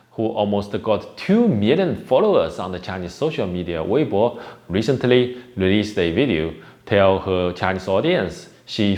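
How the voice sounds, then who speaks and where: A man hosts a casual commentary video. His voice sits somewhat low, and he talks in a rambling, hesitant way, often restarting his sentences. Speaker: host.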